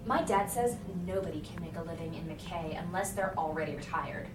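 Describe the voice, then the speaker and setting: A woman's voice: fairly low pitch, fairly quick, natural voice. A woman voicing a cheerful, chatty small-town schoolgirl in a story reading. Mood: casual surprise. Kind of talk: story reading with character dialogue. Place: hotel room recording session. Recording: echoey hotel room, distant mic, noisy.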